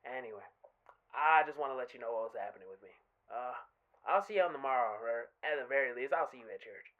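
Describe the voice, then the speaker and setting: A man's voice: mid-range voice, animated, brisk, lightly acted. A man voicing a casually murderous, religious father who rambles nonchalantly on the phone in a comic audio skit. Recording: dull lo-fi phone-call audio.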